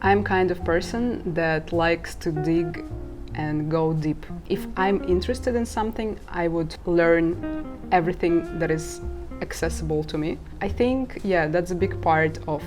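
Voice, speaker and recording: Low-voiced young Ukrainian-born woman. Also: measured pace. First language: Russian. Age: 20s. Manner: earnest, candid, laughs at herself. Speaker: vlogger. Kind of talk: casual talk to camera. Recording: guitar music playing behind.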